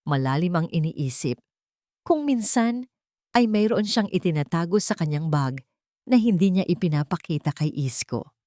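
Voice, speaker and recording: Low-voiced woman narrating a dramatic story. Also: expressive, normal speed, mellow, no room sound.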